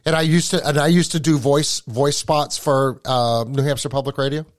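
A man with a New England accent, hosting a podcast and chatting in an animated, casual way, often repeating words as he starts a thought. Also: medium pitch, normal speed, dry recording, studio quality. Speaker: podcast host.

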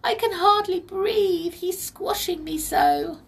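A woman voicing a tiny, indignant female pixie who pleads and squeals in a high little voice, in a children's story. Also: takes it slowly, vocal fry, far from the mic, heavily acted. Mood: pleading, distressed.

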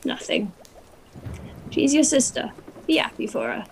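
A woman's voice: a little high, lively intonation, unhurried, creaky, a little hiss or hum, heavily acted, low-quality recording. A woman playing an eccentric old grandmother in a stage duologue, delivering dry, sardonic quips. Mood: deadpan.

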